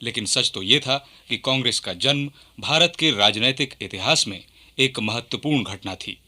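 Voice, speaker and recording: A man's voice: medium pitch, lively intonation, normal speed, bright, forceful tone. A man delivering scripted radio documentary narration in a formal, declamatory manner.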